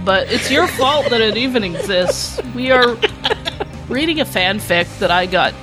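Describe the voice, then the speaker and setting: Animated, giggly woman podcast co-host. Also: fairly low pitch. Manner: giggly, self-conscious. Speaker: podcast co-host and fan fiction narrator. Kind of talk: casual chat and amateur story reading.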